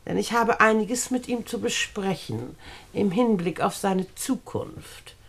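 An actress playing a wealthy, fussy, condescending older aunt in a radio play, speaking in a bossy, sweetly patronising way. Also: female voice, medium pitch, a little slow, creaky, husky. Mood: gracious, eager. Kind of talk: German radio play acting.

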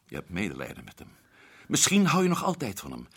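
Earnest, urgent hunter, husky low voice, lively inflection, radio drama. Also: male voice, quick pace, full character voice. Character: adventurous male hunter back from Africa. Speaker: radio play actor. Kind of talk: 1960s radio drama acting.

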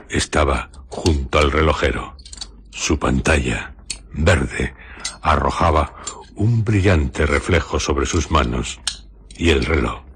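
A man narrating a radio drama in measured, deliberate phrases. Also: a bass voice, a bit bright, breathy edge.

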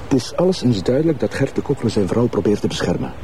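A man's voice: medium pitch, sing-song, fast talker, warm tone, slight background noise, natural voice. A man playing an earnest, sceptical junior Flemish detective who reasons politely and methodically in a TV crime drama. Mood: pensive, sceptical. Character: earnest, sceptical junior male police detective, deferential to his boss. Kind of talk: naturalistic TV crime drama acting.

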